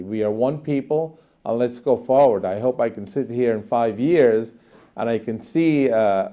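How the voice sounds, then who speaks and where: Man, mellow low-set voice, interview guest, earnest, deliberate, halting with emphatic repeats, TV studio. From Guyana. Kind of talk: earnest political interview answers.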